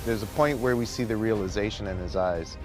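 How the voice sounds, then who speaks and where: Male filmmaker, low register, interview commentary over light music. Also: a little fast. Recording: music behind.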